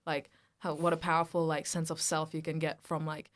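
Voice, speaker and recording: A young woman co-hosting a casual chat podcast, talking excitedly, laughing often and saying "like" a lot. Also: low-pitched, brisk. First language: Hindi. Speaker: podcast co-host. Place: indoor venue.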